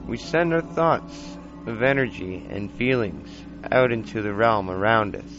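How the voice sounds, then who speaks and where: A man hosting a paranormal-style podcast, reading scripted narration in an old time radio manner with measured, dramatic, deliberate phrasing. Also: fairly low pitch. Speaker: podcast host. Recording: dull lo-fi basic equipment, music underneath. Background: music bed.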